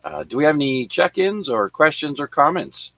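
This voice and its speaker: A man hosting an amateur radio net, reading notes on who was born and who died on this day, with many filler pauses. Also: fairly high pitch, huge pitch swings, pushed and bright, no echo. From Canada. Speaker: radio net control host. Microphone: radio transceiver microphone.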